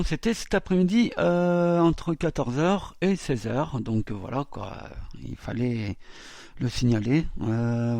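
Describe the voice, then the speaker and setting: A man's voice: medium pitch, a little mellow, no room sound. A man hosting a web radio show, talking casually and hesitantly with many filler sounds.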